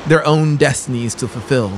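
A man in his thirties narrating a horror story in a deliberate, formal, intense, dramatic way. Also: medium pitch, a little hiss or hum, low-quality recording. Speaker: audiobook narrator. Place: small brick-walled room studio. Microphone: close boom-mounted broadcast microphone.

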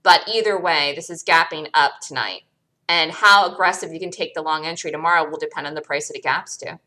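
Bright, emphatic low-voiced woman analyst. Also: brisk, low-quality recording. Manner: emphatic, repeats words for stress. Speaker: host, stock trader and analyst. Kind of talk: live chart analysis commentary. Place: indoors, screen-recorded voice-over.

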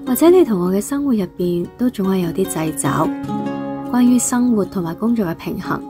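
A woman with a Hong Kong Cantonese accent reading a calm, thoughtful voice-over. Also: mid-range voice, fairly quick. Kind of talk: reflective scripted voice-over. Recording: guitar music under the voice.

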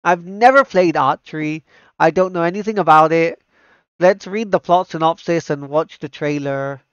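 A man with a smooth, fairly high voice, talking casually as a streamer. The sound is dry and close, slightly dull. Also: moderate pace, a bit bright, no room sound. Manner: enthusiastic, repeats himself. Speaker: streamer reacting to anime trailers. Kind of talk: casual livestream commentary.

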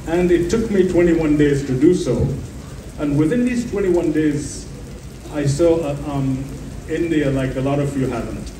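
High-voiced mature man on a roomy stage. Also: a little slow, dark tone. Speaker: panelist. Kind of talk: anecdotal panel talk. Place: festival stage, before an audience. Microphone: handheld microphone through PA, filmed from audience. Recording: echoey distant stage PA with noisy ambience.

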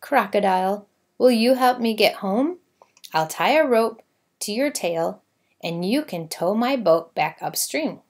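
A woman's voice: medium pitch, expressive, unhurried, a little mellow. A woman voicing an earnest, pleading young village girl in a storybook read-aloud.

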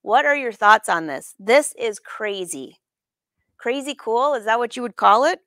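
Middle-aged female craft host for a casual live demo; fairly high voice, chatty with viewers, dry room sound. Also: animated, a little slow, pushed and bright, no echo. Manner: chatty, informal, peppers talk with questions to viewers. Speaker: host of a live sewing lesson. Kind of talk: casual live craft demonstration with audience chat. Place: sewing studio room, indoors.

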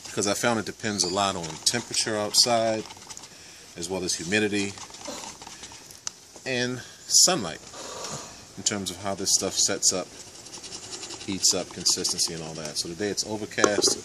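A man in his forties giving a casual step-by-step how-to demonstration in a backyard, with relaxed fillers. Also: fairly low pitch, normal speed, pauses often, noisy background. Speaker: hobby boatbuilder. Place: backyard outdoors. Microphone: camera mic, handheld camera nearby.

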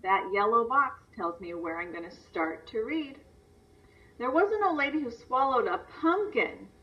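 A woman's voice: fairly high pitch, lively intonation, measured pace. A woman teacher giving a read-aloud lesson for young children, instructional, stressing words and asking simple questions. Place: video lesson played on a screen and re-recorded. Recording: distant, dull replay through a screen's speaker.

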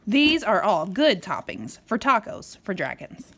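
Female storybook reader, animated and playful, swooping intonation, speaking to a small child. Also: mid-range voice, unhurried, slightly rough. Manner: playful, expressive, with dramatic emphasis. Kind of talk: animated read-aloud to a young child.

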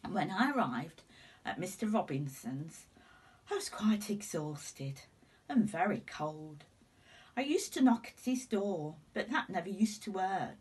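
A woman in her sixties reading a children's storybook aloud in an expressive, deliberate way. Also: fairly low pitch, slightly rough. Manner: deliberate, animated telling. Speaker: teacher reading a picture book to children. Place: indoors at home, seated before curtains. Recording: slightly distant room microphone.

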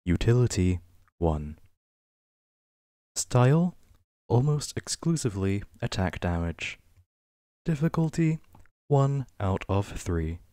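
A man with a fairly low voice reads written entries as ASMR, very slowly and with deliberate pauses. His pitch rises and falls noticeably from item to item, and the recording is close and dry. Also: big pitch swings, dark tone, no echo. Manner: measured, list-like reading with pauses. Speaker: ASMR reader. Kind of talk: ASMR reading of a game wiki page.

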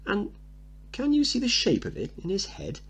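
A man playing a bright, innocent seven-year-old boy who speaks in a polite, proper, wide-eyed way in a play reading. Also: high voice, wildly swooping pitch, normal speed, breathy edge, voice pushed up, full character voice. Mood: awed, curious.

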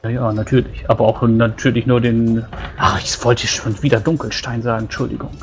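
A man's voice: medium pitch, fairly even intonation, a little fast, a little hiss or hum, natural voice. A man playing a chatty, good-natured dwarf adventurer in a tabletop roleplay, rambling and apologetic. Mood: earnest, good-natured. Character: chatty loyal male dwarf adventurer giving testimony. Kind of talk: improvised in-character tabletop roleplay.

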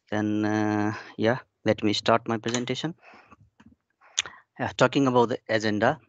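A man's voice: fairly low pitch, steady tone, takes it slowly, a bit bright, no echo. A young man from Nepal giving a webinar talk on his research, hesitant with many fillers and restarts. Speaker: PhD researcher presenting. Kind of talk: webinar presentation. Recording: muffled dry video call audio.

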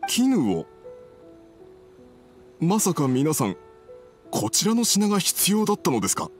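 Animated, humble young merchant. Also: male voice, a little high, unhurried, soft background music. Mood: surprised. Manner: formal, deferential, earnest. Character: polite, grateful young male merchant, earnest and humble. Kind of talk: anime game dubbing.

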